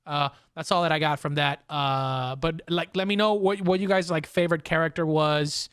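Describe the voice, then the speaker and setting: A man with a fairly high voice hosts a casual pop-culture podcast. He talks in a halting, conversational way, doubling back on words. The sound is dry and close, with little room in it. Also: fairly even intonation, a bit bright, clean tone, no echo. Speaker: podcast host.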